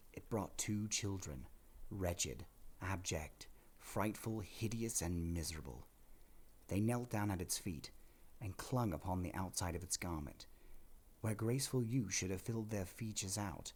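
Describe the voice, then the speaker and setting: A man narrating a classic tale from a script in a measured, theatrical storytelling way. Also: lowish voice, expressive, a little mellow, breathy edge.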